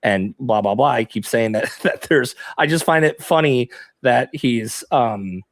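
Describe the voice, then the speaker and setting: A man co-hosting a casual true-crime talk, reading documents quickly and adding dry, joking remarks. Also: medium pitch, expressive, dry recording. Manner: dry jokey asides, reads quickly. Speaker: co-host.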